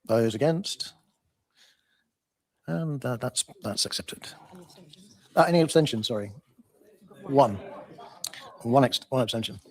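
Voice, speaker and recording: A man's voice: mid-range voice, animated, slow pace, pauses often. A man chairing a council meeting, hesitantly working through procedure in the chamber.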